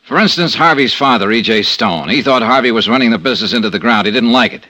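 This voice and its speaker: An American actor playing a dogged, wry insurance investigator with a conscience in a 1950s radio detective drama. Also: male voice, medium pitch, animated, fast, pushed and bright, no room sound. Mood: earnest, insistent. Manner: wry, insistent, hard-boiled delivery. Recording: noisy old radio drama recording.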